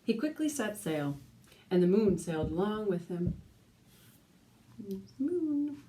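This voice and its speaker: Animated woman reading a children's storybook. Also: medium pitch, slow pace, a little hiss or hum, rough recording. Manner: animated, with asides and questions to young listeners. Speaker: teacher reading a picture book aloud. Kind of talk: expressive children's storybook read-aloud.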